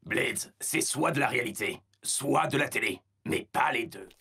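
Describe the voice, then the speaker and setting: A man in a French cartoon dub playing an earnest, no-nonsense rescue robot with a raspy voice. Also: mid-range voice, lively intonation, moderate pace, bright, forceful tone, exaggerated voice. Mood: firm.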